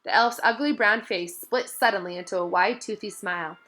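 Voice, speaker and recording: A woman reading a storybook aloud as narrator, with expressive delivery. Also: mid-range voice, normal speed.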